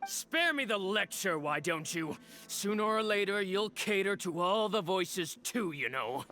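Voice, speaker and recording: Casting: a jaded, mocking villain for an anime game; high-pitched, theatrical, self-important. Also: male voice, lively intonation, unhurried, sharp tone, slight background noise, full character voice. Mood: scornful. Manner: mocking, pompous, drawling. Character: bitter, sneering male villain, a jaded fashion rival.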